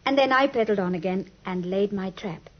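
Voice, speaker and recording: A woman's voice: mid-range voice, a little fast, natural voice. An actress playing a genteel, earnest young British lady client, speaking expressively in the manner of 1950s radio drama. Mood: earnest, uneasy. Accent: British English. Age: young adult. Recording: muffled, lo-fi 1950s radio recording.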